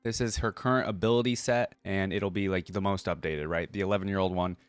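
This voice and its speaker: A man's voice: sits low, fairly even intonation, a little fast, clean tone, no echo. A man hosting a reaction video, commenting casually on a game and thinking aloud in an informal way. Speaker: reaction video host, gamer.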